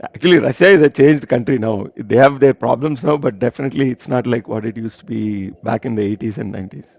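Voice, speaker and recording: A man's voice: mid-range voice, quick pace, creaky, a little mellow, no room sound, lo-fi sound. A man from India hosting an online reading group, chatting casually, laughing often and hesitating with many fillers.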